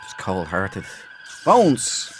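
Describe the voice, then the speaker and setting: A man with a low voice commentates casually on his game playthrough. He mutters to himself and playfully repeats phrases. Clearly audible game noise runs behind him. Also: huge pitch swings, drawn-out words. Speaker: let's play host. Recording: loud game ambience behind. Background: video game sound.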